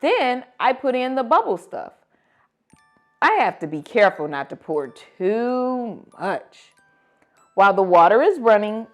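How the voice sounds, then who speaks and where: Expressive woman reading a children's storybook, music behind. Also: medium pitch. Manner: expressive, dramatic emphasis on story events. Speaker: children's read-aloud host. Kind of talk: animated storybook read-aloud for children.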